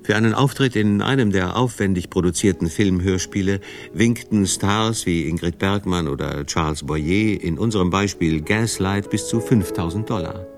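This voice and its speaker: A man's voice: sits low, normal speed, few pauses. A man reading scripted documentary narration as the narrator. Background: faint music.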